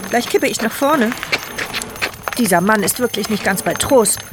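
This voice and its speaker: An actress voicing a tense, wry wheelchair user. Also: female voice, on the high side, lively intonation, normal speed, noisy background, lightly acted. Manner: inner-monologue narration, tense and sardonic. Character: paralysed woman in a wheelchair, frightened and wry. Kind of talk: radio drama narration.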